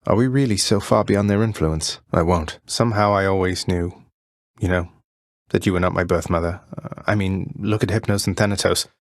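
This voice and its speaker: A low, closely recorded male voice with very little room around it. He is a young underworld prince from a video game, rebellious yet earnest. He speaks in a polite, understated, conversational way edged with dry wit. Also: moderate pace, no room sound. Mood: earnest, searching. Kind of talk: video game character voice lines.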